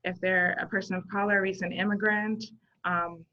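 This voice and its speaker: A woman's voice: medium pitch, level tone, moderate pace, sharp tone. A woman in her thirties from Zimbabwe, a social worker guest, talking earnestly in a casual interview and thinking aloud with many fillers. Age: 30s. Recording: dull lo-fi sound.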